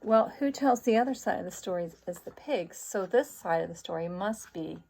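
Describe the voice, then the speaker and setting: A woman's voice: on the low side, expressive, fairly quick, creaky, dark tone, a little hiss or hum. A woman speaking as a teacher to children, explaining a story and posing questions in asides. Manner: explains and poses questions to young listeners. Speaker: teacher reading aloud to children. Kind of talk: read-aloud lesson with explanatory asides.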